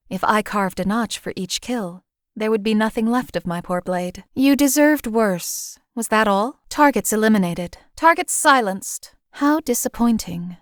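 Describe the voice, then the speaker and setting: A woman voicing a cold, calculating female assassin companion in a game, with a calm, detached, clipped delivery. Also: medium pitch, dry recording, clean studio sound, natural voice. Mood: menacing. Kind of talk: game voice lines.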